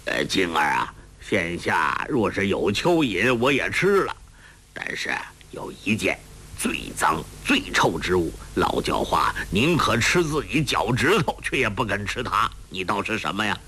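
A man voicing a gruff, crude old male beggar master who mocks and scolds in a storytelling audiobook. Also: fairly low pitch, sing-song, unhurried, sharp tone, exaggerated voice. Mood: scheming, grumbling. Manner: rough, mocking, coarse and laughing. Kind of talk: audiobook character voice.

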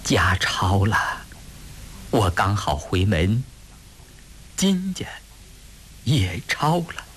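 A man voicing a sorrowful, self-possessed woman in a storybook reading, quiet, contrite and pleading. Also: fairly low pitch, very animated, very slow, long pauses, slight background noise, heavily acted. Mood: grieving, subdued.

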